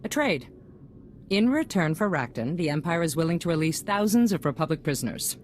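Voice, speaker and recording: Low-voiced, stately female chancellor. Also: big pitch swings, a little fast, a little hiss or hum. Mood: confident. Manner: stately, polished politician's delivery. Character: poised, self-assured female head of a galactic government. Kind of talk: video game cutscene voice acting.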